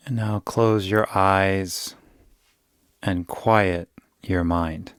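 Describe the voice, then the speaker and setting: A man guiding a yoga nidra meditation in a soothing, unhurried way, giving gentle instructions with long pauses. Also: low voice, fairly even intonation, clear, resonant tone, no echo, clean studio sound. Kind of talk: guided meditation.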